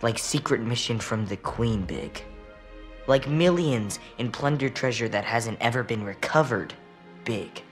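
A man acts out a teenage American street boy in a cinematic video game. He sounds guarded and cocky, with a medium-pitched voice. His delivery is blunt, bookish and earnest. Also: a little slow, soft background music, natural voice. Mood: earnest, challenging. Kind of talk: naturalistic video game acting.

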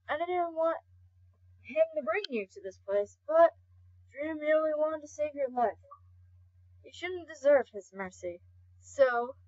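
A woman's voice: sits high, a little mellow. A woman voicing a laid-back, scolding male skeleton in an amateur comic dub, blunt, casual and stumbling. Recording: dull lo-fi home recording.

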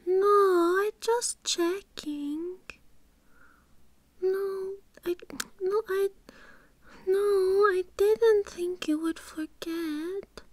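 A woman with a British accent playing a shy, childlike, submissive girlfriend in an ASMR roleplay, soft and breathy, often whispering, timid and hesitant. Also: extremely high pitch, very slow, long pauses, dry recording, full character voice. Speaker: audio roleplay performer.

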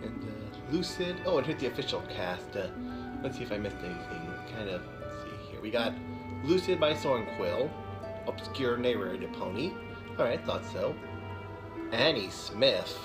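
A man's voice: fairly low pitch, big pitch swings, normal speed, over loud music. A man in his twenties giving casual, off-the-cuff reaction commentary in a living room. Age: 20s. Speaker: reaction video host. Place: living room couch indoors.

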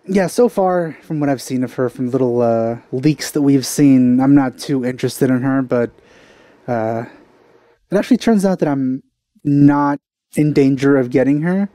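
Young man, mellow, casual reaction host. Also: mid-range voice, dry recording. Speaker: video host reacting to game trailers. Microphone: headset mic.

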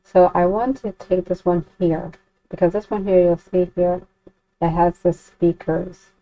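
Woman with flat pitch, casual walkthrough. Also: measured pace. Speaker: tutorial host. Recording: dull lo-fi home recording.

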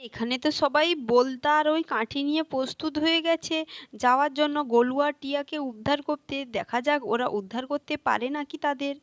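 A woman's voice: high-pitched, fairly quick, no echo. A woman narrating a children's puppet story as the storyteller.

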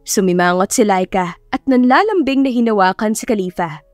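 A woman's voice: medium pitch, brisk, no echo. A woman with a Filipino accent reading a dramatized story as an audiobook narrator. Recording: dry voice over faint music. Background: soft background music.

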